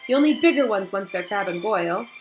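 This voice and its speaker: A woman voicing an earnest, nervous, bookish schoolgirl witch with brisk, precise speech in a storybook reading. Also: medium pitch, expressive, lightly acted. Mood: eager, anxious. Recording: roomy echo, faint music behind.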